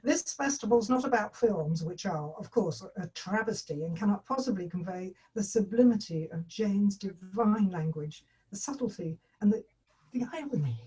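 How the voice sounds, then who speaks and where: A woman's voice: medium pitch, expressive, moderate pace, warm tone, slightly rough, far from the mic, rough recording. A woman reading the part of a haughty literary purist in a comedy script, with pompous, declamatory phrasing. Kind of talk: table read of a comedy screenplay.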